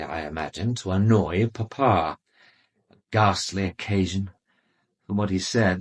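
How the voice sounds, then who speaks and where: Haughty society doctor, lowish voice, upper-class English accent, formal, measured, disdainful, radio drama. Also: male voice. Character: haughty, urbane male private doctor being questioned.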